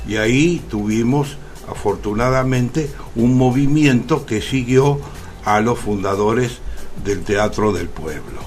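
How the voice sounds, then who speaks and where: An Argentine man, an actor being interviewed on radio, speaking reflectively in deliberate phrases broken by pauses. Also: mid-range voice, a little mellow. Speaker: interview guest, stage actor.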